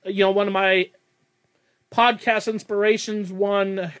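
A man's voice: sits high, flat delivery, measured pace, fairly bright tone, smooth. A young man hosting a casual podcast, rambling and self-deprecating, laughing often. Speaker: podcast host.

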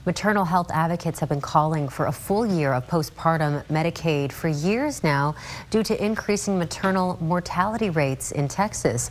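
Female TV news anchor, somewhat low voice, measured read. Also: slight background noise. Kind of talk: news reading.

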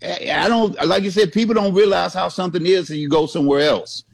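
A man co-hosting a talk radio show, talking in a halting way with many restarts. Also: sits high, steady tone, vocal fry. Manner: halting, restarts often, laughs easily. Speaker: radio co-host. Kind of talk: casual talk radio banter.

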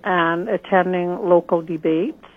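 This is a middle-aged woman from northern Canada, speaking as a candidate in a radio interview. Her voice sits slightly low and a bit husky. She talks earnestly and plainly and often restarts her phrases. The sound is narrow and tinny, like a phone call. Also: fairly even intonation, unhurried. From northern British Columbia, Canada. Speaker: political candidate.

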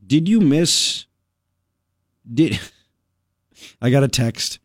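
A male radio host telling a story in casual talk-radio style, animated and full of quick asides. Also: mid-range voice, dry recording, studio quality.

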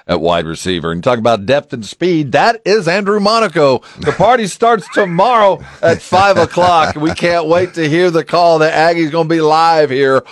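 A man's voice: fairly high pitch, lively intonation, normal speed, hardly any pauses, no room sound. A man hosting a casual sports radio interview, speaking close to a studio microphone.

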